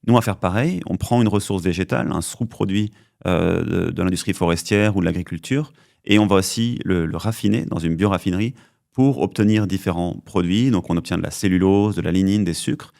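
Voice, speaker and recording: Low gravelly man explaining patiently. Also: darkish tone, dry recording, clean studio sound. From western France. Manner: measured, explanatory, hesitant fillers. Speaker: company co-founder, chemist. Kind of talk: podcast interview answers.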